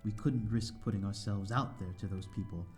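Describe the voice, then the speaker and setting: A man's voice: on the low side, fairly quick, darkish tone, soft background music, lightly acted. A man playing a lonely, courteous vampire in an audio roleplay, speaking in formal, old-fashioned, hesitant phrases to the listener. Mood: genteel, reminiscing. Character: lonely, courteous male vampire living in an abandoned manor.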